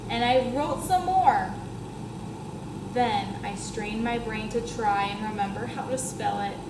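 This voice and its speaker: A young woman, a first grade teacher, reading a children's book aloud to her pupils in an expressive way and talking to them in questions and explanations. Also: fairly high pitch, takes it slowly. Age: young adult. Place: school classroom, indoors. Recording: roomy classroom echo, clear background noise.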